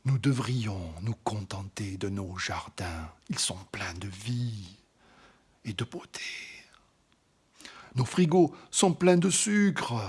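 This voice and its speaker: A man giving a slow, dramatic poetry recitation, often dropping into an intimate whisper and swelling louder on some phrases. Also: on the low side, slightly rough.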